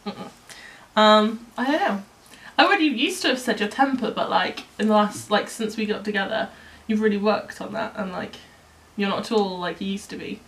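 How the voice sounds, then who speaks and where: A woman's voice: medium pitch, moderate pace. Giggly young english girl vlogging, accent a mix of everywhere, lots of likes and ums. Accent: mixed English, picks up others' accents. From England. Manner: giggly, chatty, filler-heavy. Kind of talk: casual couple chat.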